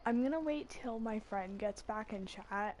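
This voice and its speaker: A woman's voice: mid-range voice, a little slow, darkish tone, slight background noise. A woman giving casual live commentary as she streams a video game. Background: game music.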